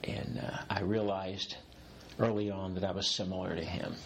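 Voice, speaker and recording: A man in his late sixties answers interview questions in a low, deep voice. He muses at length and circles back on his own sentences. A faint hum of room ambience sits behind him. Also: level tone, moderate pace, vocal fry. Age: 60s. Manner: reflective, rambling with restarts, occasional laughs. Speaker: executive coach, guest. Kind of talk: interview conversation.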